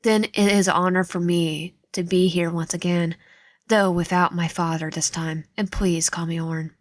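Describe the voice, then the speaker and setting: A woman's voice: fairly low pitch, fairly even intonation, normal speed, clean studio sound, close to an everyday voice. A woman voicing a courteous young prince with formal manners while reading a visual novel. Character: polite young male prince, courteous travelling companion. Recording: echoey room, faint music behind.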